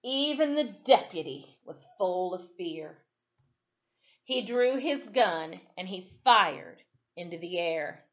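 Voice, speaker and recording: A woman teacher reading a storybook aloud in a deliberate, dramatic way, with explanatory asides and checking questions. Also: on the low side, animated. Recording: dull, lo-fi sound.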